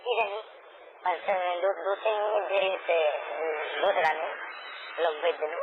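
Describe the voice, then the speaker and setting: A man's voice: high voice, normal speed. A man chatting casually and intimately on a phone call, often repeating his own phrases. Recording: noisy, narrow phone call recording.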